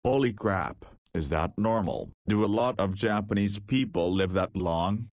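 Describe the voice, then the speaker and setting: Visual novel lead, male, nineteen: cheeky, easygoing and slangy, lowish voice, dry recording, acted by a man. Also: measured pace, darkish tone, dry recording. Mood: curious. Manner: casual, slangy. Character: cheeky, easygoing nineteen-year-old male ex-juvenile detainee. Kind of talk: visual novel character dialogue.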